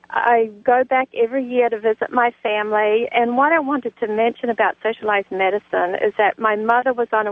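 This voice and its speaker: A woman's voice: fairly high pitch, no echo. New woman calling in, phone sound. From New Zealand. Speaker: call-in listener. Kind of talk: earnest personal account on call-in radio. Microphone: telephone. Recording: dry, narrow phone line.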